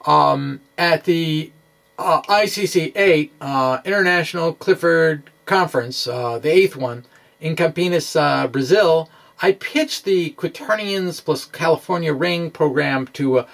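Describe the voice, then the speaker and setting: Male science presenter, fairly high voice, slow and halting delivery, thinks aloud with a self-deprecating touch. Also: creaky. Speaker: presenter of a physics video blog. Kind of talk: informal science lecture.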